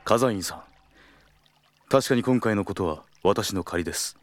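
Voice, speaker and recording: A man acting a protective, hot-tempered senior colleague in an audio drama, firm and formal in confrontation, otherwise blunt and rough. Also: fairly low pitch, expressive, normal speed, a little hiss or hum, lightly acted. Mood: firm, defiant.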